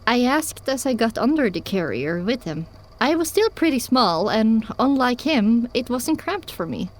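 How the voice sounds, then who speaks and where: A woman's voice: a little high, very animated, measured pace. A woman reading scripted first-person story narration as the narrator of an audio drama.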